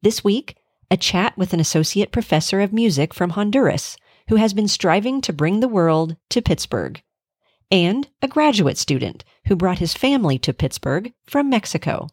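A woman who hosts a university podcast, reading a scripted intro and interviewing a guest in a measured, friendly way. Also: mid-range voice, expressive, a little mellow, husky.

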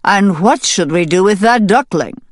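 A woman voicing a pompous male rooster who heads the barn, slow and announcing. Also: medium pitch, expressive, dry recording, studio quality, pitched above the performer's own voice, lightly acted.

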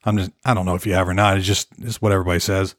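A hoarse man hosting his own podcast, chatting loosely and rambling from topic to topic. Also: low voice, level tone, very fast, no echo, clean studio sound. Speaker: podcast host. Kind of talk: casual solo podcast rambling. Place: Nashville.

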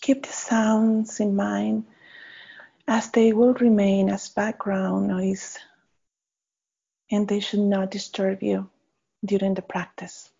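A woman guides a meditation very slowly and gently, pausing between short phrases. Her voice is mellow and slightly husky. The recording is a little dull. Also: medium pitch, level tone. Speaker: meditation guide, faculty member. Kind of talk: guided body scan meditation. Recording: slightly dull, muffled audio.

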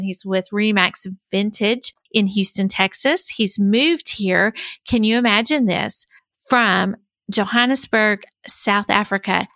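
A woman's voice: mid-range voice, pushed and bright, no echo. A woman hosting a podcast as an executive coach, talking in an enthusiastic, upbeat way. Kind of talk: podcast interview.

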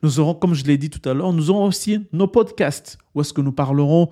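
A man's voice: a little high, brisk, warm tone. A man from the Democratic Republic of the Congo introducing his podcast as its editor, explaining earnestly, posing rhetorical questions and often restarting his phrases. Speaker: podcast host and French-language editor.

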